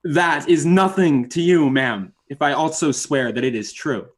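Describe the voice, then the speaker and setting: An actor playing a proud, defensive young writer giving formal, precise testimony in an old-time radio drama. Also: male voice, medium pitch, animated, normal speed, husky. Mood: defensive, resentful. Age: young adult.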